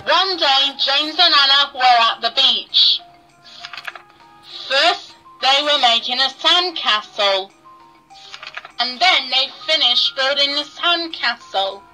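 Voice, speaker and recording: Young female storybook reader, very bright and slow, careful delivery, toy-speaker playback with faint music. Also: high voice, animated, rough recording. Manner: careful, deliberate reading. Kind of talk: children's story read aloud. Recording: played back from toy laptop speaker.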